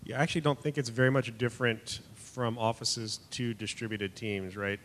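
A man's voice: fairly even intonation, normal speed, fairly bright tone. A man speaking as a conference panelist, explaining points confidently. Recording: long hall reverb, faint rumble.

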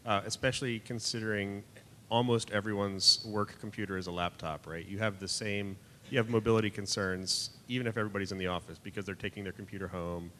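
A man with a very deep voice gives a confident panel answer, laying out points one after another. A long hall reverb trails his words. There is a faint rumble behind him. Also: fairly even intonation, fast, bright, forceful tone.

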